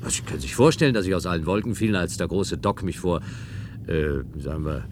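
Ein Schauspieler spielt im Hörspiel einen gewandten, flapsigen Regierungsreferenten, der höflich und ironisch plaudert. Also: male voice, sits low, animated, fairly quick. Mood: explaining. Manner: breezy, ironic, chatty. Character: glib, flippant government aide, courteous and teasing. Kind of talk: German radio play acting. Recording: radio play with clear background noise.

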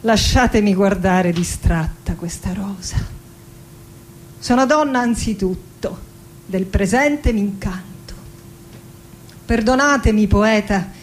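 An actress playing a proud, passionate young woman poet in a theatrical, declamatory dramatic monologue with deliberate pauses. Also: medium pitch, slight background noise, natural voice, low-quality recording. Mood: wistful, defiant. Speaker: stage actress.

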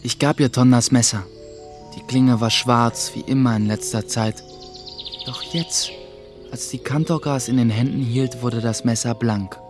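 An earnest young apprentice played by a man narrates and acts in a radio play. His voice sits at medium pitch, measured and reflective, over clearly audible music. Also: fairly even intonation, natural voice. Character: earnest young male apprentice telling his own story.

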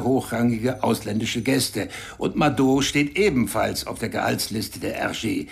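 An actor playing a tough, cynical police chief in a radio crime drama, blunt and quick to curse. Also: male voice, mid-range voice, vocal fry, natural voice. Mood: briefing. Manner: blunt, cursing, gets heated.

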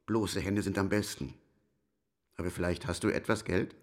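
An actor playing an older, pompous, patriotic former president in a radio drama, with a declamatory, avuncular manner. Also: male voice, deep voice, steady tone, normal speed, natural voice. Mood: avuncular pomposity.

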